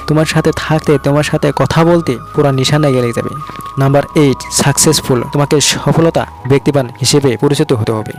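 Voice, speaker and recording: A man's voice: fairly high pitch, a little mellow. A man narrates scripted motivational relationship advice, speaking directly to the listener in an instructive way. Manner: direct, instructive, addresses the listener with rhetorical questions.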